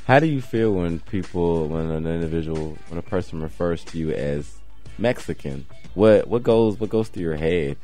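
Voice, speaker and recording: A very deep-voiced man hosts a talk show and tells a story with lively rises for emphasis. Soft guitar music plays faintly in the background. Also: normal speed. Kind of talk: interview and anecdote.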